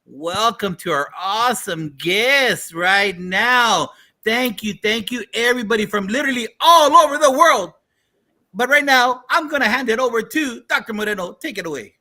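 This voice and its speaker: A man co-hosting a talk show in an upbeat, energetic, punchy and exclamatory way. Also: very high-pitched, moderate pace.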